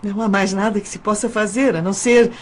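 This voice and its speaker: A woman playing a polite, nervous young woman in an audio drama, speaking in a halting, earnest way. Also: mid-range voice, steady tone, lightly acted. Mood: polite, anxious.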